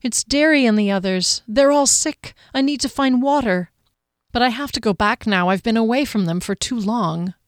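A woman voicing a fiery, quick-tempered young woman rider in an audiobook, blunt and demanding. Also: fairly high pitch, big pitch swings, dry recording, clean studio sound, voice pushed up. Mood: anxious. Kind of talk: audiobook character dialogue.

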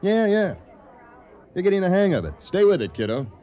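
An actor playing a vain, touchy, competitive gourmet cook in a comedic radio drama, boastful and patronizing. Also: male voice, high-pitched, sing-song, fairly quick, highs cut off, a faint crowd.

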